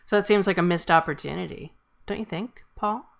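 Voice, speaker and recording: A woman's voice: on the low side, brisk, creaky. A woman hosting a casual group discussion, chatting in an informal, joking way. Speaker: host moderating a script table read. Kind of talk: casual chat.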